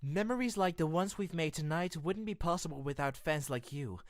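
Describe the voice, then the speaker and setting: A woman voicing a sweet, sincere young hero, earnest and expressive, reading a scripted holiday show message. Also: low-pitched, moderate pace, no room sound, studio quality. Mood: heartfelt. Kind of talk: scripted show sign-off, audio drama.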